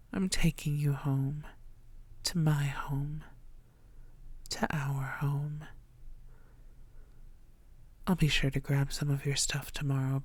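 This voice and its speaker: Woman acting as a slow, low, possessive vampire lover. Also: a little mellow, no room sound. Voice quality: breathy, often dropping to whispers. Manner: slow, tender, possessive murmuring. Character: possessive, lovestruck female yandere vampire, ancient and seductive. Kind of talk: intimate ASMR audio roleplay.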